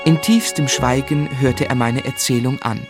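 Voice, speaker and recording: An actor playing an earnest, compassionate young gentleman writer, narrating his life in measured, articulate for a radio drama. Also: mid-range voice, natural voice. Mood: reflective, grave. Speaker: narrator and lead character. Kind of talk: literary first-person narration in German radio drama. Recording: music bed under the voice. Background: music.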